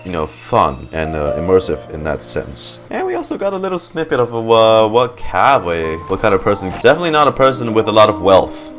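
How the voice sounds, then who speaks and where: A man's voice: fairly low pitch, a bit bright. A man giving casual reaction commentary as a video game streamer, rambling and hesitant as he thinks aloud. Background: game music.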